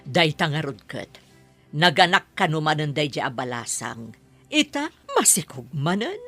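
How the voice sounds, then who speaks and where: An actress with an Ilocano accent playing a kindly, childless married landlady in an audio drama, with a husky voice. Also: low-pitched, very animated, unhurried, a bit bright, faint music behind, full character voice. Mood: concerned.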